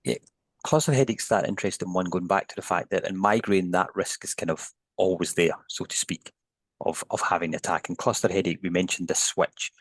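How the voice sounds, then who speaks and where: A man's voice: low-pitched, fairly even intonation, fairly quick, breathy edge, no room sound. A Scottish man, a neuroscientist guest, explaining research on a podcast in a thoughtful, hedging way with frequent restarts. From Scotland. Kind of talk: podcast interview.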